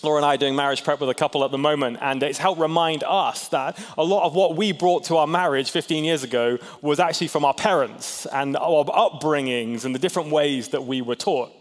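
A man in his thirties from Hong Kong gives a chatty, self-deprecating sermon, slightly high-pitched for a man. He keeps asking the congregation questions. His voice rings with the long reverb of a large church hall. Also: fairly quick, hardly any pauses. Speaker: pastor.

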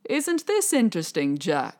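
A woman voicing a sly, courteous male devil who speaks slowly with mock sympathy. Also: on the low side, huge pitch swings, darkish tone. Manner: slow, smooth, mock-sympathetic.

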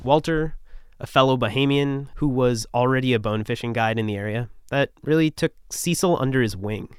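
Clear-voiced young man narrating. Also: mid-range voice, no room sound, clean studio sound. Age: 20s. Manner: measured, storytelling. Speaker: podcast host. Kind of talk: scripted narration.

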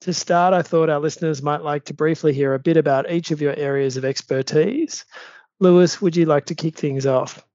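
Aussie podcast host guy, measured scripted intro, dry dull sound. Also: a little high, a little mellow, dry recording. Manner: measured, reads prepared introduction. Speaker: podcast host, law firm partner.

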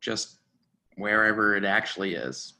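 A man's voice: on the low side, lively intonation, a little slow, pushed and bright, full character voice. An actor playing a fussy, officious male restaurant host with formal manners in a readers theatre drama. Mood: apologetic. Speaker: actor in a staged reading.

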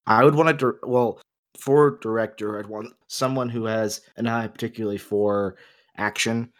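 A man with a fairly low voice talks as a podcast co-host. He lays out opinions in a casual but emphatic, explanatory way, as if in an ongoing discussion. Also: fairly even intonation, moderate pace.

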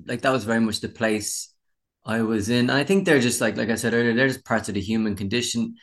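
A man's voice: medium pitch, fast talker. An Irish man in his forties, a musician chatting casually in an interview with a wry, self-deprecating manner. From Ireland. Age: 40s.

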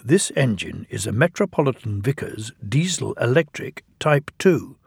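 A man's voice: mid-range voice, big pitch swings, moderate pace, vocal fry, darkish tone, slightly rough, dry recording, clean studio sound, heavily acted. Man as a stern, fatherly steam engine. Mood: severe. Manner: severe, fatherly. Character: stern but kindly senior male steam engine.

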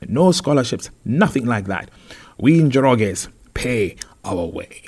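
A man's voice: medium pitch, sing-song, slow pace, a little mellow, slightly rough, full character voice. A man voicing an exasperated wealthy father who scolds his grown son with emphatic indignation in an audiobook. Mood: proud.

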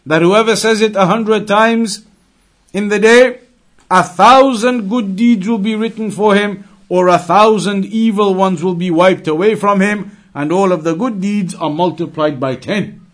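A man's voice: sits high, a little slow, a bit bright. A man giving a religious lesson as a teacher, moving between reciting quotations and explaining them.

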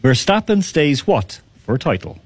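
A man hosting a radio quiz, teasing contestants, laughing often and repeating questions slowly. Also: fairly high pitch, very animated, husky, no echo. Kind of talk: lively radio quiz banter.

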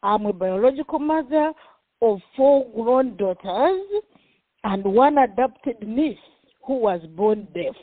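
A woman's voice: fairly high pitch, expressive, slow pace, no room sound. A Ugandan-accented woman in her sixties, a professor and pastor, introducing herself in a radio interview, speaking expressively with some fillers. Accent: Ugandan, with some American Midwest. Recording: tinny phone line, dry.

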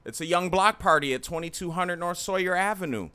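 A man hosting a casual radio chat show, upbeat and friendly, giving shout-outs. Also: sits high, brisk, smooth.

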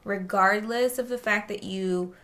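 A young woman from Japan chatting casually as an interview guest, animated and prone to mimicking other people as she talks. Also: mid-range voice, measured pace. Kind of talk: casual conversation.

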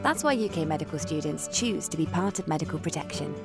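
A woman's voice: low voice, lively intonation, quick pace. A woman reading a scripted advertisement in a polished, persuasive voice. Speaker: ad voice-over. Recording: music bed under voice.

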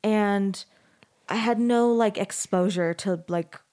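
This is a woman in her early thirties hosting a podcast, talking at an ordinary middle pitch. Her talk is loose and rambling, full of likes and self-corrections. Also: slow pace, warm tone, smooth. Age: 30s. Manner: rambling, with many likes and restarts. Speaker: podcast host. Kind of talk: casual chat.

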